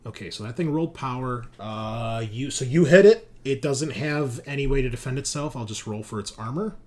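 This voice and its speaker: A man's voice: mid-range voice, a little fast, a little mellow. A man running a tabletop roleplaying game casually as game master, on a home mic. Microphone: home mic on online group call. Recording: clear background noise on home mic.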